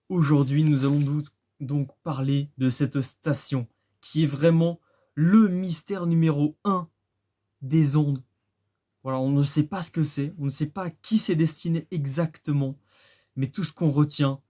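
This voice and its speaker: Guy with a soft mellow voice doing a chatty explainer, asks questions then answers, repeats himself to make a point. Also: fairly high pitch, fairly even intonation, measured pace. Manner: conversational, often repeats phrases for emphasis. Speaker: presenter of a radio-hobby video. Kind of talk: casual explainer narration with rhetorical questions.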